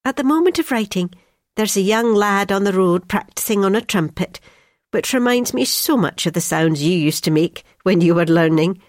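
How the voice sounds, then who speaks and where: A woman's voice: medium pitch, animated, moderate pace, no room sound, clean studio sound, lightly acted. An actress playing an affectionate, proud mother, reading out a chatty, homely wartime letter to her son. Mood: chatty, fond. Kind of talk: read-aloud wartime family letter.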